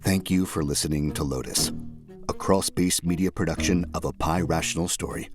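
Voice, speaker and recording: A man reading a podcast outro in a slow, measured, ominous way. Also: very deep voice. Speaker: podcast host. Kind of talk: scripted outro. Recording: music playing behind.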